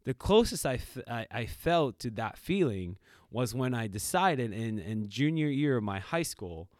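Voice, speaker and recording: A man's voice: medium pitch, measured pace, no room sound, clean studio sound. A middle-aged man co-hosting a podcast, telling stories reflectively with lots of fillers and restarts. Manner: reflective, animated storytelling with many fillers. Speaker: podcast co-host. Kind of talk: casual podcast conversation.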